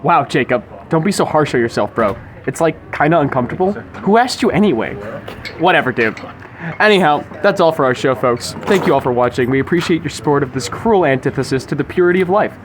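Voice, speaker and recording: A man's voice: on the high side, animated, fast. A man hosting a podcast in a wordy, mock-formal comedic style. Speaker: podcast host. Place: local restaurant. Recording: faint background noise of public place.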